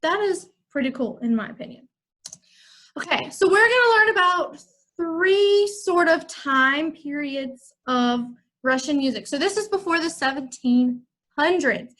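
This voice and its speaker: A woman's voice: sits high, animated, a little slow, fairly bright tone. A young woman from the United States, a music teacher giving an upbeat, chatty online lesson to children.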